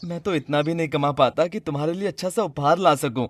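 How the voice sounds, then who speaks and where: A man's voice: a little high, quick pace, lightly acted. A man voicing an earnest, eager, lovestruck young mechanic in a cartoon story. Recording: dry studio voice with music.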